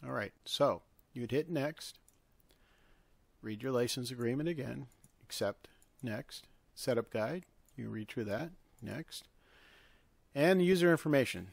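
Male, mid-pitched with vocal fry, informal instructional screen-tutorial narration. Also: animated, unhurried, pauses often, no echo. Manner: step-by-step, instructional. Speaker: tutorial host. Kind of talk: informal software tutorial walkthrough.

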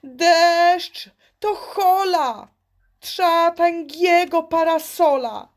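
A woman voicing a small bossy frog in a children's verse story, calling out emphatic, drawn-out warnings. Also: very high-pitched, pitched above the performer's own voice, exaggerated voice. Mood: scolding, urgent.

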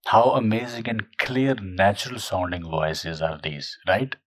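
A man narrating a software tutorial in a steady, scripted, explanatory way. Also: fairly low pitch, a little slow, no room sound, studio quality.

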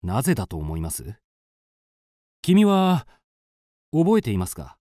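Earnest amateur sleuth, highly animated. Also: male voice, no room sound, studio quality. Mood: confident accusing. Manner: deliberate, dramatic, polite. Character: earnest young male amateur sleuth, confidently accusing his friend. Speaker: narrator and lead character. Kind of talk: radio drama acting and narration.

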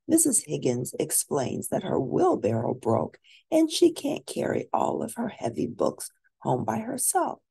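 A woman reading a children's storybook aloud expressively, with drawn-out emphasis for young listeners. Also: medium pitch, wildly swooping pitch, gravelly, warm tone, breathy edge. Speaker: storybook reader.

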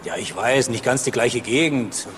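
An actor playing a plain-spoken, insistent bus driver in a German crime radio drama, talking colloquially. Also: male voice, mid-range voice, lively intonation, moderate pace, a bit bright, slight background noise, close to an everyday voice.

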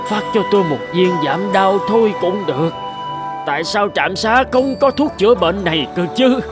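A man's voice: high-pitched, normal speed, music in the background, lightly acted. An actor playing a suffering sick village man in a dubbed cartoon, pleading in pain. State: pained.

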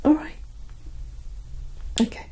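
A woman in her thirties speaking softly and gently in a casual ASMR roleplay as a barber, often close to a whisper, near the mic at home. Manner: gentle, unhurried, casual one-sided chat. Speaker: ASMR performer playing a barber. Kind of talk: soft-spoken ASMR personal-attention roleplay. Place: living room at home.

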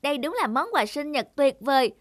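A woman voicing a lazy, excitable teenage schoolboy in a cartoon. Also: sits high, fairly quick, no echo, clean studio sound, natural voice. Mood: delighted. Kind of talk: cartoon dubbing.

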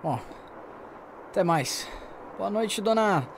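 Man acting as a polite, animated Brazilian journalist. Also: sits high, slow pace, a little hiss or hum, heavily acted. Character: curious, courteous male journalist. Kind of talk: audio drama dialogue.

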